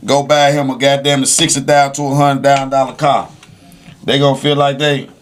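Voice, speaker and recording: A man's voice: medium pitch, flat delivery, fairly quick, a little hiss or hum. A man in casual podcast chat, talking in an emphatic, slangy way and repeating phrases for stress. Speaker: podcast host.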